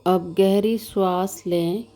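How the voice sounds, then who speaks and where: Very slow, mellow woman guiding meditation. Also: mid-range voice, faint music behind. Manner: calm, measured, soothing instructions with long pauses. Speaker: yoga nidra meditation instructor. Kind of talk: slow guided meditation narration.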